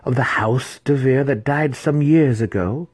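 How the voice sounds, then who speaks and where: A man voicing a female dark elf matron priestess, haughty, scheming and commanding, in an audiobook. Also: mid-range voice, measured pace, slightly rough, no echo, pitched above the performer's own voice. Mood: suspicious, menacing.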